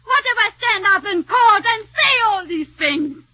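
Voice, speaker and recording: An actress playing a bitter, secretive, scornful wife in an intense 1940s radio drama style. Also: female voice, very high-pitched, expressive, measured pace, bright, forceful tone, dry recording, full character voice. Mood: hateful. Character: bitter, defiant, secretive foreign wife turned accuser. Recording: dull 1940s radio recording.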